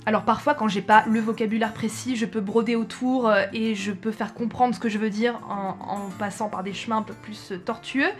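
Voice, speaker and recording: Young French woman vlogger, chatty and hesitant, slightly high voice, faint music underneath. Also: fairly even intonation, brisk, few pauses. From France. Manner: chatty, self-correcting, frequent hesitations. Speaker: travel vlogger. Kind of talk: casual chat to camera.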